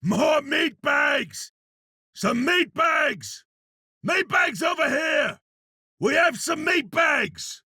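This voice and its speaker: An actor playing a loud, brash male berserker warrior in a video game, barking short, emphatic combat callouts. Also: extremely high pitch, lively intonation, very slow, no room sound, studio quality, full character voice. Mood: urgent, rallying. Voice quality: gravelly, raspy shouting.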